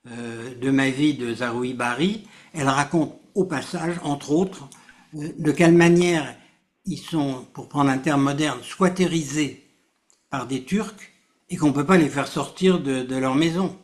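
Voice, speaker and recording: A man, a guest on a radio show, explaining at length in a measured way. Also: mid-range voice. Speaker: author's relative, guest.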